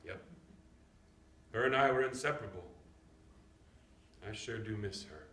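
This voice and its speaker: A man's voice: fairly low pitch, unhurried, a bit bright. An actor in a staged play reading, playing a teasing, nostalgic country grandfather with a folksy manner. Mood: wistful. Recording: echoey room, background noise.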